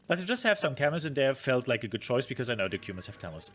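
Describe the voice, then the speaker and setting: A man's voice: mid-range voice, big pitch swings, racing, a bit bright. A man streaming a review of his own strategy game match, thinking aloud with many fillers, being hard on himself and answering his chat. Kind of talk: casual game commentary.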